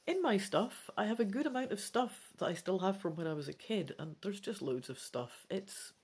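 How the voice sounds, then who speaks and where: A gamebook reader and host giving dramatic, deliberate storybook narration with casual asides. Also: mid-range voice, lively intonation, a little mellow, slightly rough. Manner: theatrical, deliberate phrasing.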